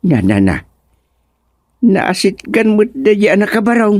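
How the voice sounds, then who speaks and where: Man playing stubborn old rural father, higher-pitched, very animated, exclamatory, drawn-out words, comedy radio serial. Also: no room sound. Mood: eager. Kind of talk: comedic radio drama acting.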